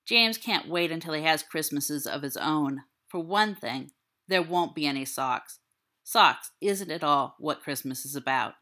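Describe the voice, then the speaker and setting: A woman's voice: low-pitched, a little slow, sharp tone. A woman reading literary fiction aloud as a scripted narration. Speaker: audiobook reader.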